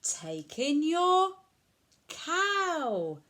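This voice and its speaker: Woman voicing a slow, measured wise old man. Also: high voice, sing-song, a bit bright, pitched above the performer's own voice, full character voice. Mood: instructing. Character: wise old man giving calm, odd advice in picture book.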